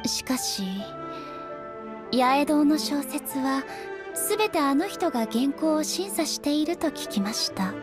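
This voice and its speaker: Calm regal anime game queen, formal polite measured talk, kinda high voice, music behind. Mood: composed, thoughtful. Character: composed, dignified female ruler of an island nation. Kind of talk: anime video game voice acting.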